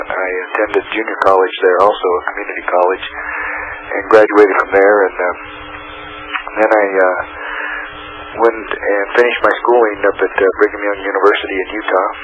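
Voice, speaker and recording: Hoarse sheriff guy in his 40s, low flat voice, lots of uhs, muffled line with hiss. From Arizona. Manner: halting, many "uh" fillers. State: hoarse with a cold. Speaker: guest, county sheriff. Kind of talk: phone-in interview.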